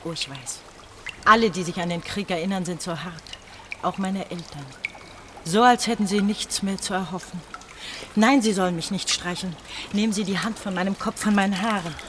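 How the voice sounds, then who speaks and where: A woman's voice: medium pitch, normal speed, fairly bright tone, lightly acted. Casting: a woman to play an exhausted, emotional girl on the run in a German radio play, faint noisy water behind. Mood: exhausted, despairing. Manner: emotional, reproachful, dramatic. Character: desperate, bitter, exhausted young woman fleeing underground. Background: running, dripping water.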